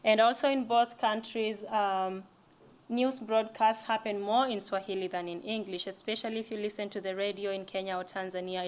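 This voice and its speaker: Woman presenting. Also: medium pitch. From East Africa. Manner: conversational, with frequent restarts and fillers. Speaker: language presenter. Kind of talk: library lecture.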